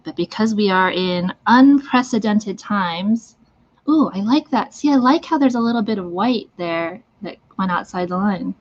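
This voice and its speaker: A woman's voice: medium pitch, expressive, normal speed. A woman giving a casual live makeup tutorial, talking through each step. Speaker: mime makeup demonstrator. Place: live online stream from a socially distanced setting. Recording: dull, lo-fi livestream audio.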